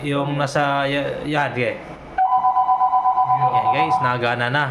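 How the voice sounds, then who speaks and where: A man's voice: medium pitch, level tone, slow, heavy background noise. A man with a Filipino accent chatting casually as a vlog host, informally addressing viewers and instructing helpers.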